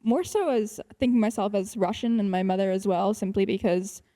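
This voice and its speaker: A teenage girl with a soft, warm voice, reading her poem slowly and then answering questions in a hesitant way, full of "um" and "like". She starts sentences over and searches for her words. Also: mid-range voice. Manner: hesitant, many fillers and restarts. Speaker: student poet. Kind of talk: poetry reading and casual answers.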